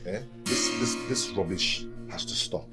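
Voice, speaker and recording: An actor with a Nigerian accent playing a proud young house servant, protesting with repeated, stammered complaints in a film drama. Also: male voice, deep voice, measured pace, loud music behind the voice. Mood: indignant. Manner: indignant, repetitive, stammering protests.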